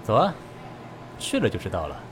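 Brash, animated garage mechanic played by a man. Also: on the low side, moderate pace, noisy background. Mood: scornful, enticing. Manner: blunt, slangy, familiar. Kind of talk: audio drama dialogue.

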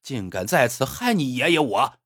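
A storyteller voicing an arrogant, cruel martial-arts villain who sneers and threatens. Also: male voice, mid-range voice, very animated, normal speed, a bit bright, husky. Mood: sneering.

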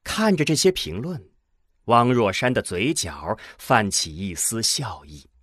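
A man's voice: on the low side, huge pitch swings, a little slow, a bit bright, no echo, studio quality. A man reading scripted narration for a science fiction audio drama.

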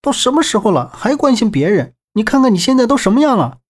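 A man's voice: very high voice, sing-song, quick pace, breathy edge, voice pushed up, exaggerated voice. A man voicing an agitated male friend in an audiobook, scolding and demanding answers urgently. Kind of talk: audiobook character voice.

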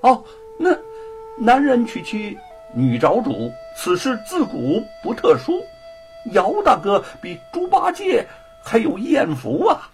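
A man's voice: high voice, sing-song, normal speed, a bit bright, soft background music, voice pushed up, heavily acted. A male storyteller voicing a witty, carefree young scholar who talks in a light, teasing way. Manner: breezy, teasing.

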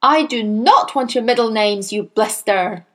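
Storybook villain: woman voicing a huge scornful headmistress, barking, slow and heavy. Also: on the high side, sing-song, fairly bright tone, full character voice. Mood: bellowing. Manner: bellowing, contemptuous, barking orders. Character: furious tyrannical woman headmistress, huge and bullying. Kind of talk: storybook reading.

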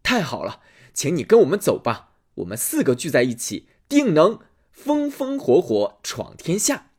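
A man's voice: fairly high pitch, huge pitch swings, normal speed, a little mellow, breathy edge, full character voice. A man voicing a proud, fiery discharged soldier. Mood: rousing. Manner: boastful, rousing.

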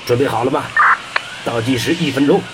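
A man's voice: medium pitch, fairly quick, heavy background noise, natural voice. A man playing a commanding but caring space mission leader in an audio drama, urgent and earnest.

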